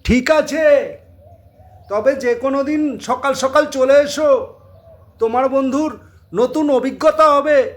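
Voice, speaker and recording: High-pitched, drawling village sap tapper. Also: male voice, slow pace, long pauses. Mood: friendly coaxing. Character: friendly rustic village date-palm sap tapper, hospitable. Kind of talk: Bengali audio story acting.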